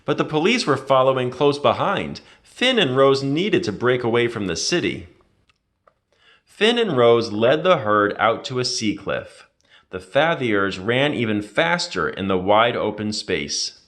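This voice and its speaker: A man reading a children's adventure story aloud in an expressive, dramatic storytelling manner. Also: mid-range voice, a little slow. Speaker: read-aloud narrator.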